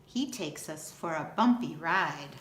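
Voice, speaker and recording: A woman reads aloud for children like a schoolteacher. Her delivery is unhurried, deliberate and expressive. The room adds noticeable echo, with a faint layer of noise behind her. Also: sits low. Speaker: school teacher reading aloud. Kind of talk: children's picture book read-aloud.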